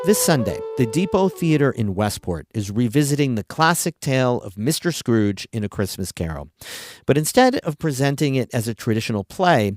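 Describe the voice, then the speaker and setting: A man hosts a public radio news podcast. His voice sits at an average pitch and the sound is very dry. He reads scripted news in a conversational way. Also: darkish tone, dry recording.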